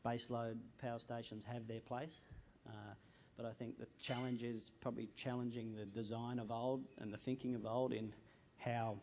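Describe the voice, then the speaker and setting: A man's voice: mid-range voice, level tone, moderate pace, hardly any pauses, darkish tone, a little hiss or hum. A man on an energy panel, explaining his points steadily. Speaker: panelist, energy industry adviser. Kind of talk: panel answer. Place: conference room.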